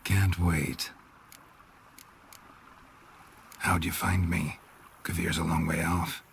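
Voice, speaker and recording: An actor with an American accent playing a gruff, laconic monster hunter in a video game, dry-witted and affectionate with his lover, in a gravelly voice. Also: little pitch movement, unhurried, a little hiss or hum. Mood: tender, dry. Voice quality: gravelly, low. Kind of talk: video game cutscene acting.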